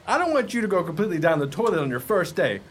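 Radio play: impatient old-hand vendor, acted by a man, gruff manner, high animated delivery, sarcastic lecturing. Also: racing, a little hiss or hum. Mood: exasperated lecturing. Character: grouchy impatient veteran ice cream man training rookie.

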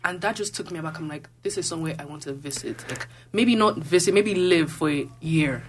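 A young woman from Nigeria talks in a low, relaxed register, looping through "like" and restarting her thoughts as she chats on a student radio show. A faint hum sits under her voice. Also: brisk. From Nigeria (Lagos). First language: Igbo. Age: young adult. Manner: rambling, filler-heavy with lots of "like". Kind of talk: casual chat.

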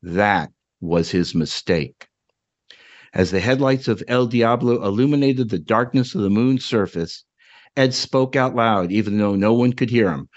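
A man's voice: on the low side, measured pace, muffled recording. A man, a podcast host, speaking as a storyteller who reads and talks about his own work. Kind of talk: dramatic story narration and casual chat.

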